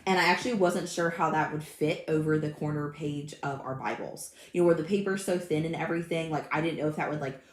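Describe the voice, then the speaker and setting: A woman in her thirties hosting a casual craft livestream, chatty and friendly, with a slightly hoarse voice. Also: deep voice, quick pace, few pauses, roomy sound. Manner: chatty and friendly, greets live commenters by name. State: slightly hoarse, recovering from illness. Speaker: craft channel host. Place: home craft room.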